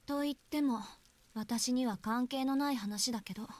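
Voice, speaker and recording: An actress playing an aloof, irritable high school girl in a visual novel, speaking in a curt, detached way. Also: fast, a little mellow, close to an everyday voice. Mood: cool, curt. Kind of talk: Japanese visual novel voice acting.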